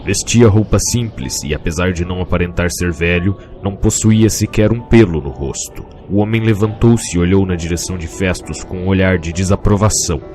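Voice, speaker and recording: Male storyteller, low voice, solemn literary delivery, scripted narration, faint music behind. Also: a little fast, hardly any pauses, darkish tone.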